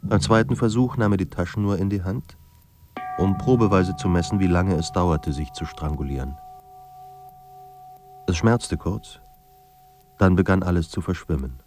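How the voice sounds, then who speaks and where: A man performing as the grave, measured narrator of a despairing writer's life in a literary radio drama. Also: sits low, darkish tone, soft background music. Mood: somber, restrained.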